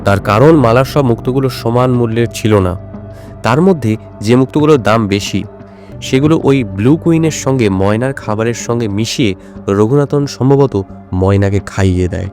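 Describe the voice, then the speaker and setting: A male actor playing a shrewd, self-assured detective in an audio drama, speaking in a measured, explanatory way. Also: mid-range voice, a little mellow, faint music behind, natural voice. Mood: measured, deductive. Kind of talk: Bengali audio drama dialogue.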